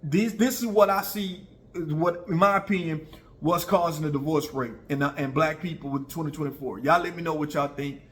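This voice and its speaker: A man's voice: fairly high pitch, normal speed, a bit bright. An American man in his early forties giving casual opinions to viewers, conversational, repeating himself for emphasis and often checking in with listeners. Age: early 40s. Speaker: online video commentator.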